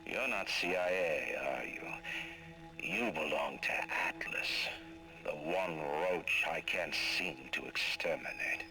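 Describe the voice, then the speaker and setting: A man's voice: very deep voice, animated, pushed and bright, soft background music, heavily acted, rough recording. A man playing a cold, contemptuous, intellectual tyrant who threatens over a radio in a video game. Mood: cold menace. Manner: measured, menacing, contemptuous. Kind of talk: video game villain monologue.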